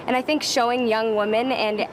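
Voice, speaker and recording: A woman's voice: fairly high pitch, steady tone, normal speed. A young woman pageant contestant giving earnest, polished interview answers. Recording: background noise.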